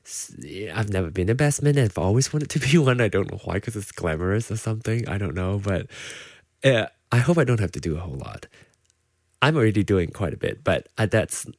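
A man with a Malaysian English accent tinged with American, talking alone as the host of his own show. His voice sits deep and low, and he wanders through his thoughts with plenty of ums and restarts. The sound is close and dry. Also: animated, a little fast, darkish tone, no echo. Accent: Malaysian English, partly Americanized. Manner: rambling, with many fillers and restarts. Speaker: podcast host. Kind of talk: casual solo chat.

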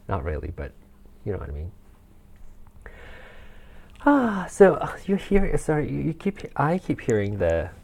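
A man's voice: medium pitch, wildly swooping pitch, slow, vocal fry, long pauses, darkish tone, breathy edge. A man of forty hosting a solo podcast in a casual, rambling way, with fillers, restarts and frequent chuckles. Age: 40s. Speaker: podcast host. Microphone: handheld or desk mic with rustle.

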